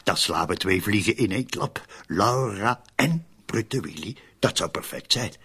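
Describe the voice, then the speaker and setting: An actor playing a scheming, urgent undertaker in a radio drama, with a raspy, gravelly voice. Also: male voice, on the low side, expressive, measured pace, fairly bright tone, heavily acted. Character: scheming, urgent male undertaker plotting a frame-up.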